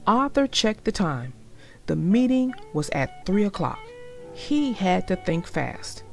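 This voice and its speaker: Slow, animated woman reading a storybook. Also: medium pitch, vocal fry, soft background music. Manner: unhurried, storytelling delivery. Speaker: children's story narrator. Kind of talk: expressive scripted read-aloud of a storybook.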